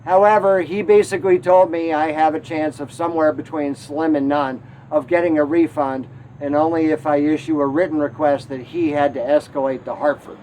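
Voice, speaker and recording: Man, RV travel host, high voice, wry mildly aggrieved storytelling, faint background noise. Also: steady tone, moderate pace, a little mellow, poor audio. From Connecticut. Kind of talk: travel show narration.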